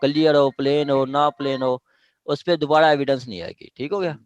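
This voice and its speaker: A man's voice: fairly high pitch, fairly even intonation, a little fast, lo-fi sound. A man with a Pakistani accent lecturing on statute law in a didactic way, checking in with listeners as he explains. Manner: didactic, punctuates points with check-in questions.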